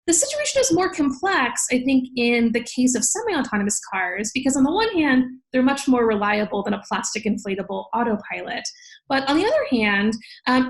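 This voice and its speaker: A woman's voice: high-pitched, poor audio. A woman speaking as an interview guest, explaining in an articulate, emphatic way like a scholar. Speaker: podcast guest, legal scholar.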